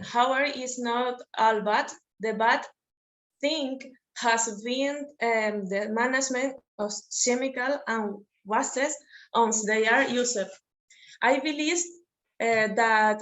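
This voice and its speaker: Hesitant woman, slow. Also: fairly high pitch, a bit bright. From Argentina. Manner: hesitant, halting, many pauses. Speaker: participant, researcher. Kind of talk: spontaneous comment in a webinar. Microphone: video call.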